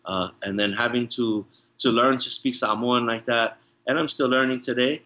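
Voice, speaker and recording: Male tattoo artist, interview guest, bright tone, easygoing rambling delivery. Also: mid-range voice, moderate pace. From Hawaii, raised in San Diego. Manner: relaxed, rambling, many restarts and "you know". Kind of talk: casual interview chat.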